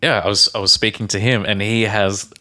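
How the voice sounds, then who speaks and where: A man co-hosting a podcast, telling rambling, halting anecdotes in casual chat, often stopping to restart his sentences. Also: lowish voice, steady tone, bright, forceful tone. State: sober. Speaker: podcast co-host.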